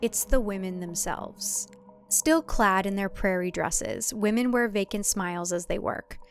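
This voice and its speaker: A woman's voice: mid-range voice, a little slow, faint music behind. A woman reading scripted thriller fiction as a narrator, in a dramatic storytelling style.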